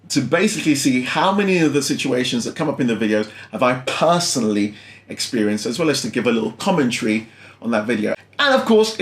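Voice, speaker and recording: A man from London hosting a food channel, chatting casually and laughing often as he reacts to a video. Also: medium pitch. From London, England. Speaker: YouTube food channel host. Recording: roomy room sound.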